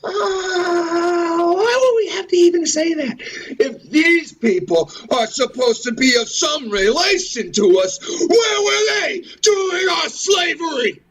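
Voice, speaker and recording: Male commentary host, high register, slow delivery, impassioned and sweary, given to repetitive rhetorical rants. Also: expressive, a bit bright, raised voice, poor audio. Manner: ranting, repetitive, rhetorical questions, cursing. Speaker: host of a religious and historical commentary show.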